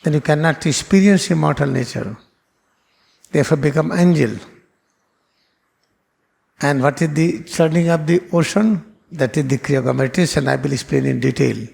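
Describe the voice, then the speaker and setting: Man with gravelly voice. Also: a little high, fairly even intonation, normal speed, pauses often, a little mellow. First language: Hindi. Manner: earnest explaining, repeats phrases, non-native phrasing. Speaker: spiritual teacher. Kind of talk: spiritual class lecture.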